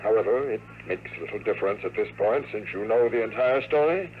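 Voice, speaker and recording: An actor playing a cold, courteous gunman villain in a 1950s radio drama, speaking formally with measured menace. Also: on the low side, animated, natural voice. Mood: smooth, resigned. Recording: tinny, noisy old radio broadcast.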